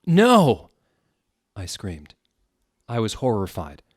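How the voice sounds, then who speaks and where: A man's voice: fairly low pitch, measured pace, dry recording, studio quality. A man doing a mock-dramatic, amused comedic reading of a story. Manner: theatrical, amused, swings into loud exclamations. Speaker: reader of fan fiction.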